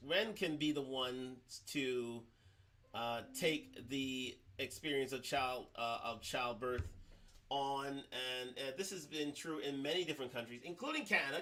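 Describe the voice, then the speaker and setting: A man hosting a livestream, commenting on played clips in a halting way with many restarts. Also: mid-range voice, bright, forceful tone. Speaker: livestream host.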